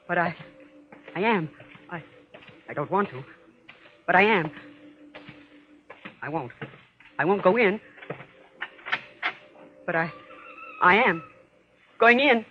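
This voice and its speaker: Haunted murderess stuck in a nightmare, old radio horror, slow broken phrases, pitch all over, noisy old tape. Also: female voice. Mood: reluctant dread. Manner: melodramatic, halting fragments, from whispers to shrieks. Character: guilt-haunted murderous stepmother trapped in a nightmare, frantic. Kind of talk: old-time radio horror acting, interior monologue. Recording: dull, lo-fi old radio recording with noise.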